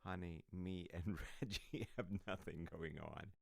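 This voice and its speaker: A man's voice: deep voice, unhurried, creaky, no echo, studio quality, full character voice. A man playing a loving, sassy, sheepish husband in an intimate ASMR roleplay, rambling to a sleepy partner with teasing, hesitant asides. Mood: playful, rambling.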